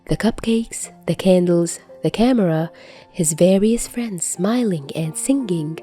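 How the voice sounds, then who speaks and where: A woman's voice: fairly low pitch, a little slow, mellow, faint music behind. A woman reading fiction aloud in an audiobook narration style. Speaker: podfic narrator.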